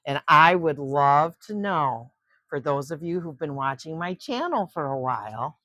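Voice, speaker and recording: An older woman hosting story time for children, reading a picture book aloud and chatting gently to young listeners in between. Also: deep voice, animated, measured pace, no echo. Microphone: close microphone.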